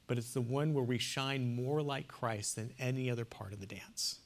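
A man speaks to a class with earnest emphasis. His voice sits at an ordinary middle pitch and swings between hushed and loud as he presses his points. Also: normal speed. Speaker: lecturer. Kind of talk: classroom lecture on theology and poetry.

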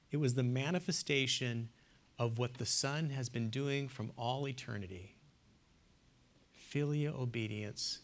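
Man Lecturer, mid-pitched voice, earnest, emphatic, dips hushed then rises loud. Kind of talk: classroom lecture on theology and poetry.

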